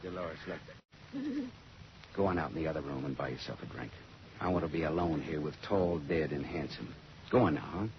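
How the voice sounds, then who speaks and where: An actor playing a wry, world-weary private detective in clipped, sardonic 1940s radio noir style. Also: male voice, a bass voice, huge pitch swings, moderate pace, pauses often, exaggerated voice. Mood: wry questioning. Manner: clipped, sardonic, tough delivery. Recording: muffled vintage 1940s radio recording.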